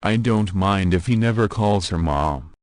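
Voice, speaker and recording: Man playing deep-voiced, persuasive dad. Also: unhurried, no echo, clean studio sound. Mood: coaxing. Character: friendly, persuasive engaged father of a teenage son.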